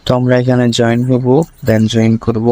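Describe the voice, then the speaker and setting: A man's voice: medium pitch, monotone, brisk, dark tone. A man presenting a screen tutorial step by step, linking each instruction to the last in a repetitive way.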